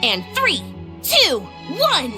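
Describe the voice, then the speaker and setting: A woman's voice: very high voice, wildly swooping pitch, very slow, full character voice. A woman playing a chirpy, upbeat young film crew leader in an anime-style game, calling out instructions. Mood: upbeat, commanding. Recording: prominent background music.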